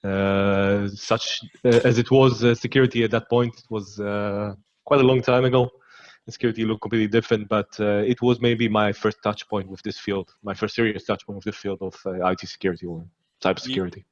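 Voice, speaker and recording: A man in his forties, originally from Israel, speaking as an interview guest with a relaxed, chatty manner. His voice sits a little low. The sound is slightly dull and lo-fi, like a remote call. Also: brisk. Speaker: guest, security executive. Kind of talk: casual interview.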